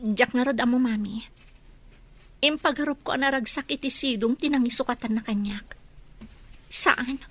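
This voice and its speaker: Aggrieved high-voiced daughter. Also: female voice, normal speed, pauses often, a bit bright, no echo. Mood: hurt, resigned. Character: aggrieved grown daughter confiding in her mother. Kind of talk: Ilocano radio drama acting.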